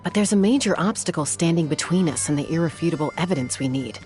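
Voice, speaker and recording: A cool young heroine of a fantasy game. Her voice is low and measured, confident and composed, with music playing behind her. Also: lively intonation, a little mellow. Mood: thoughtful. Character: cool, composed young woman, flying expert and investigator. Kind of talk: video game character dialogue.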